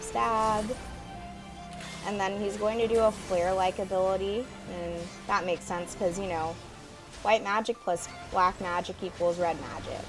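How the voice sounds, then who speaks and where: A woman with a raspy, sore throat from allergies, chatting casually in a home voice-over about a video game. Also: on the low side, poor audio. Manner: chatty, easygoing, a bit quiet. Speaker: gaming YouTuber. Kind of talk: casual gameplay voice-over commentary. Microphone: home voice-over mic. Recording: voice-over with game music behind.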